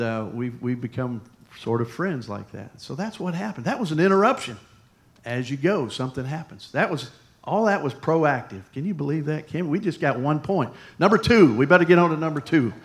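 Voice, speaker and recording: A man, a pastor, preaching a sermon in a conversational, storytelling way with lively asides to the congregation. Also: on the high side.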